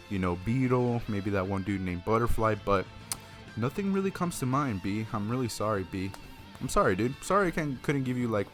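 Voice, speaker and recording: A man in his twenties, from Mexico with Spanish as his first language, answering viewer questions in a casual, rambling way and swearing freely. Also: fairly low pitch. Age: 20s. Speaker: YouTube streamer. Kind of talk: casual Q&A vlog.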